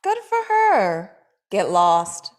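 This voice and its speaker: A woman's voice: fairly low pitch, wildly swooping pitch. Blunt, dry-witted woman reading a script. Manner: dry, blunt, quick comebacks. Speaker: actor in a table read. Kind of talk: script table read, playing Sasha.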